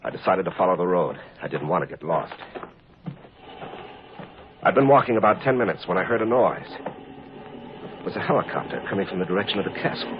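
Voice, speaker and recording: A man's voice: deep voice, expressive, fairly quick, long pauses. An actor playing a defiant man on the run, telling his own escape in a tough, plain-spoken 1950s radio drama style. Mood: tense recounting. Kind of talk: 1950s radio drama first-person narration. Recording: noisy, muffled old radio recording.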